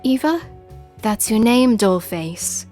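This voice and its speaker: A woman voicing a fierce, threatening female satyr in an audio drama, with a high, growling, demanding voice. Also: lively intonation, slow pace, dark tone, faint music behind. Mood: menacing. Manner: menacing, demanding.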